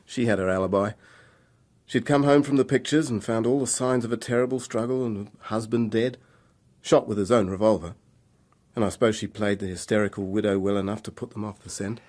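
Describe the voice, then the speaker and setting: A man plays a troubled young man on the run in a radio drama. His voice sits fairly low, and he talks informally, in a hesitant, confessional way, bitter and weighed down by guilt. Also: fairly even intonation, a little fast, darkish tone, lightly acted. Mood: explanatory, candid.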